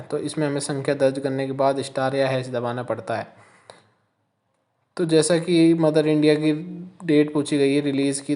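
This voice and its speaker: A man's voice: mid-range voice, warm tone. A man presenting an explanatory voice-over tutorial, talking listeners plainly through each step.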